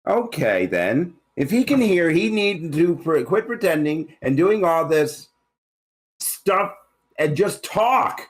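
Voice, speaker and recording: A man's voice: lowish voice, expressive, normal speed, few pauses, lightly acted. A man playing a sullen, defensive teenage boy in a script read, grudging and slangy. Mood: annoyed. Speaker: amateur actor reading a part. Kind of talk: screenplay table read, dramatic dialogue.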